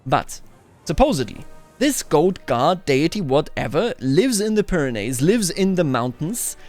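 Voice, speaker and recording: Male game commentator, slightly high voice, musing storyteller who explains as he goes, soft music underneath. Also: big pitch swings, unhurried. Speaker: host of a video game playthrough series.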